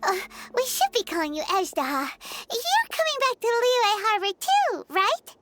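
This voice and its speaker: A woman voicing a small, excitable, curious childlike fairy companion with a squeaky voice in a video game. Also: a little slow, heavy background noise, heavily acted. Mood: puzzled, surprised. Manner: excitable, exclamatory. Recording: loud music behind the voice. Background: game music.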